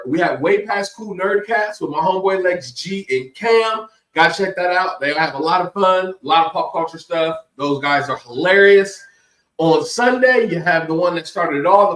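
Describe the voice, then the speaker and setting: A man's voice: high-pitched, a bit bright. A man hosting a casual cooking podcast, joking around and plugging the network's other shows. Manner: joking, hypes upcoming shows. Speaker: podcast host. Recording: roomy, distant mic.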